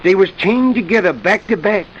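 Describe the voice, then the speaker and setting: A high-voiced, humble Southern laborer. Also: male voice, lively intonation, moderate pace. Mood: wary. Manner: hesitant, deferential. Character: humble, wary Southern plantation laborer, a man. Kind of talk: old-time radio drama acting. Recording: muffled, noisy vintage radio recording.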